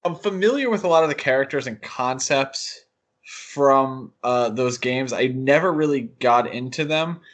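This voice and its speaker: A man co-hosting a podcast, discussing things casually in a halting, hedging way. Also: mid-range voice. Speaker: podcast co-host.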